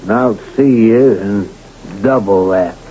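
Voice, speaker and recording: An actor playing a domineering, crooked card dealer who runs a Western town, in 1970s radio drama style, brash and menacing. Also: male voice, fairly low pitch, takes it slowly, mellow. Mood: suspicious, commanding. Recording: dull, noisy 1970s radio recording.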